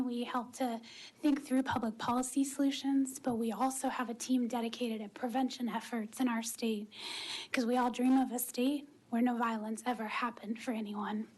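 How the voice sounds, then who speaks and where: A woman with a soft voice testifying before a legislative committee as a policy director, speaking gently in a formal manner. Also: fairly high pitch, poor audio. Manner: breathy, gentle, near-whispered. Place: committee hearing room.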